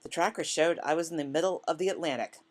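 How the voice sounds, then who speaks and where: A woman reading the part of a quiet, melancholy male office worker in a short story, hesitant and musing. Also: low voice, quick pace, pitched above the performer's own voice. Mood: wistful.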